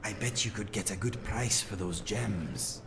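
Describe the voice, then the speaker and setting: A man's voice: on the low side, a little slow, a bit bright. An actor voicing a wry, watchful male cat-like adventurer who advises his companion in a video game. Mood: wry advice. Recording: clear game background noise.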